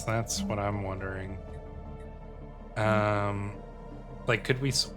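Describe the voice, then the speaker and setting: A man giving casual let's-play commentary as a gaming host, thinking aloud in rambling questions and reading out game text. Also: fairly low pitch. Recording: music playing behind the voice. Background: game music.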